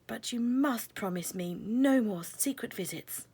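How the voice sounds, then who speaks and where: A woman voicing a regal queen bee fairy in a children's storybook, soft but stern and measured. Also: a little high, animated, breathy edge, pitched above the performer's own voice, exaggerated voice.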